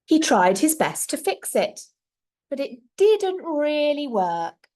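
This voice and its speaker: A woman's voice: high-pitched, sing-song, slow, darkish tone. A woman reading a storybook aloud to young children like a primary teacher, expressively and with little asides to her listeners.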